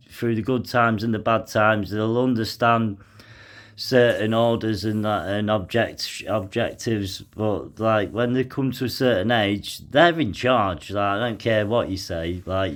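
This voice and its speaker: A young man commenting on a news article as he reads it aloud, halting often with fillers and sighs. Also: fairly low pitch. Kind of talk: casual commentary mixed with reading aloud.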